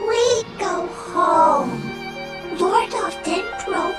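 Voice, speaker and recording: An actor voicing a small, gentle fungus creature in a video game, speaking in simple, halting phrases. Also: extremely high pitch, expressive, full character voice. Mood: gentle, consoling. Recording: loud music behind the voice. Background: loud music.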